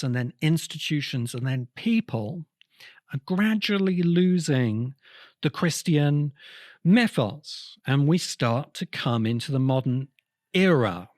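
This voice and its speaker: A man delivers an explanatory lecture at an unhurried pace. His pitch moves widely as he stresses short phrases and leaves pauses between them. The sound is dry, with almost no room in it. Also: darkish tone, no echo.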